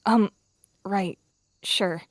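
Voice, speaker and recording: A woman's voice: mid-range voice, steady tone, extremely slow, dry recording, clean studio sound. A woman plays a young tomcat apprentice in an animal roleplay game. He sounds insecure but hopeful, faltering as he speaks, with little room sound. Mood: resigned. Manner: hesitant, faltering.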